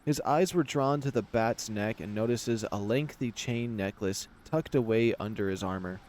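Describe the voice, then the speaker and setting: A man's voice: lowish voice, normal speed, a little mellow. A man narrating a visual novel aloud as a let's player, switching between story reading and casual commentary. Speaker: video game let's player.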